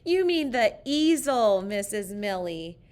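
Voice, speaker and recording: A woman reader voicing amused young pupils in a storybook who loudly correct their silly teacher, stretching out the corrected words. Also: mid-range voice, sing-song. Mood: playful, emphatic. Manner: loud, emphatic, drawn-out correcting.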